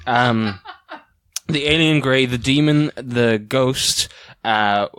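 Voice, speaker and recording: A man co-hosting an improvised comedy podcast, speaking in a halting, hesitant way. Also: medium pitch, a bit bright. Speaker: podcast co-host.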